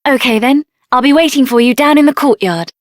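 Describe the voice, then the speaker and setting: Woman doing a high voiced young fantasy game heroine, spirited n stubborn, earnest and expressive, really dry studio sound. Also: brisk, no room sound, natural voice. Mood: warm, chatty. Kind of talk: video game character voice acting.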